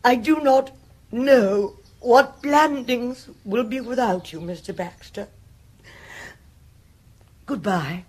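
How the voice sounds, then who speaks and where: An actress playing an imperious, scolding aristocrat with an upper-class English accent and a husky voice, commanding and formal in a radio comedy. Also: female voice, on the high side, slow pace, long pauses, darkish tone. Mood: dismayed, formal. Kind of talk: radio comedy drama acting.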